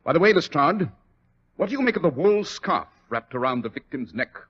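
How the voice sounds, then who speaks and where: Sardonic detective, theatrical and precise, swooping intonation, old muffled radio drama sound. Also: male voice, on the high side, very animated, brisk, a bit bright, breathy edge, heavily acted. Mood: coolly assured. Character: shrewd, coolly teasing male detective. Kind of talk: 1940s radio acting. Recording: muffled 1940s radio recording.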